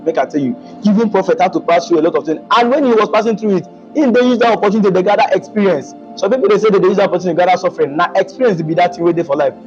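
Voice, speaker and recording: A fast-talking man hosting a talk show in a Nigerian Pidgin accent, his voice fairly high and full of quick exclamations. The recording is a little dull and lo-fi, with music running clearly underneath. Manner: animated, exclaims wow often, mixes Pidgin and English. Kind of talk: casual interview chat.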